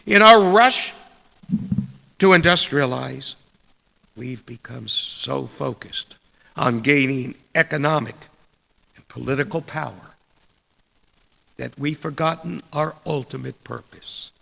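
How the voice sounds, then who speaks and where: A middle-aged man delivering a sermon in a deliberate, measured way with dramatic pauses and a gravelly, husky voice. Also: mid-range voice, sharp tone. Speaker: preacher reading a sermon. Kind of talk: sermon with read quotations. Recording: long echoing hall reverb.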